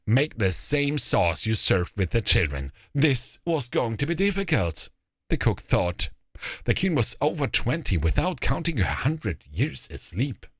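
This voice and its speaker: A man narrating a fairy tale as a storyteller, with deliberate, expressive phrasing. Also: sits low, breathy edge, no echo, clean studio sound.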